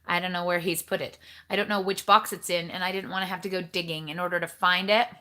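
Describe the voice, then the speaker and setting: A woman's voice: fairly low pitch, steady tone, fast, bright, forceful tone. A woman hosting a casual livestream from home, chatting with viewers and greeting them by name in a bubbly, rambling way. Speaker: livestream host. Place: home kitchen.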